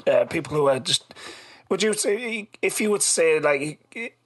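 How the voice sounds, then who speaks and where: A man hosting a casual podcast chat in a rambling, halting way, his voice a little lost. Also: fairly high pitch, lively intonation, a bit bright. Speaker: podcast host.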